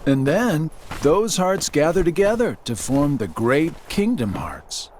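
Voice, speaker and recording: A man's voice: a little high, big pitch swings, slow pace, darkish tone, slight background noise. An actor playing a sly, mocking villain henchman with a drawling, taunting delivery in a video game cutscene. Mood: smug, casual. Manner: drawling, taunting, chuckling sarcasm.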